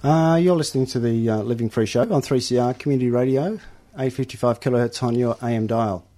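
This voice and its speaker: Male community radio host, mellow tone, halting with uhs. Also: medium pitch. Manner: hesitant, many uhs and ums. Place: radio studio.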